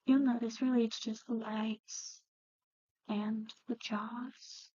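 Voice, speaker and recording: Soft whispery woman doing asmr clinic roleplay, pretty flat gentle explaining, hesitant, super dry close mic. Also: on the high side, takes it slowly, dry recording, dull audio. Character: soft-spoken, matter-of-fact woman at an eerie old clinic. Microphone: close-up ASMR microphone.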